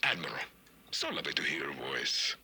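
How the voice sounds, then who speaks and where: An actor playing a cold, menacing middle-aged dictator in a video game, measured and deliberate. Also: male voice, extremely low pitch, very animated, vocal fry, bright, forceful tone, slightly rough, full character voice. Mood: quietly threatening. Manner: measured, deliberate delivery with pointed pauses. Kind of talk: cinematic video game acting. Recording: music behind the voice.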